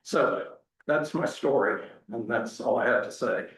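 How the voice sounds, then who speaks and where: A man telling a casual personal story in a folksy, halting way as a volunteer speaker. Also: medium pitch, a bit bright. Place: large indoor church. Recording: echoey church hall, distant mic.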